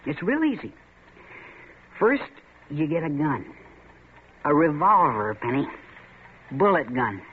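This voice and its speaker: A man's voice: fairly high pitch, sing-song, takes it slowly, long pauses. An actor playing a taunting, swaggering young man in a 1940s radio drama, needling his friend as a coward. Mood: coaxing. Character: taunting young male tough, Red. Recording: tinny lo-fi old radio broadcast with faint hiss.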